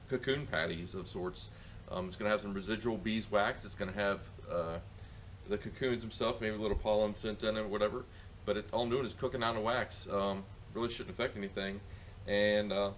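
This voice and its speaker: A middle-aged man with a lowish voice walks through a beekeeping chore outdoors, rambling and restarting his sentences as he goes. He is picked up by a camera mic in a farmyard, with faint outdoor ambience behind him. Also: a little hiss or hum. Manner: rambling, many fillers and restarts. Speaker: beekeeper showing a how-to. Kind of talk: casual how-to demonstration.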